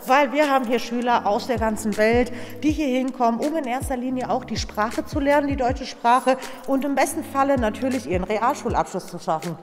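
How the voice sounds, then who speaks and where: A woman teacher talking briskly and encouragingly in a school hallway. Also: fairly high pitch, big-room sound, soft background music. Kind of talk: school presentation.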